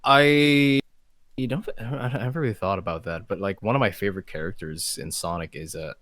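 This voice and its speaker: A man with a low voice, a guest voice actor chatting casually in an interview, often stammering and restarting as he talks. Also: a little fast, a bit bright, dry recording.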